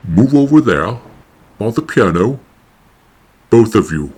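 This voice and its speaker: Low, swooping, menacing husband acted by a man. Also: very animated, slow, a little mellow, husky, full character voice. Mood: cold command. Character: cold, smug, menacing husband with a gun. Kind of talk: noir radio play acting.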